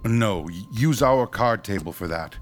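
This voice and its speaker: A man's voice: on the low side, animated, measured pace. An actor playing a principled ghost hunter in his fifties, formal, professorial and a little patronizing, in a horror radio drama. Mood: solemn, firm. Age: 50s.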